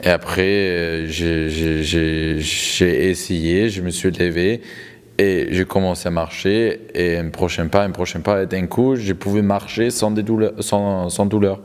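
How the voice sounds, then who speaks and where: A man's voice: low-pitched, fairly even intonation, a little slow. A man interpreting a testimony live, hesitant, repeating and rewording phrases as he goes. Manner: hesitant, repeats and reformulates words. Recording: long hall reverb.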